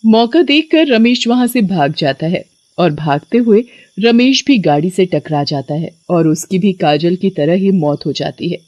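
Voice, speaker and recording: A woman's voice: lowish voice, animated, measured pace, a little mellow, lo-fi sound. A woman narrator reading a scripted story.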